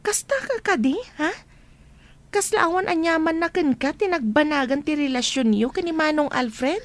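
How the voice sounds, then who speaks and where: A woman's voice: high voice, moderate pace, lightly acted. An actress playing a nosy, concerned young college woman in a radio drama, with a Filipino accent. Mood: reproachful. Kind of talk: Ilocano radio drama acting.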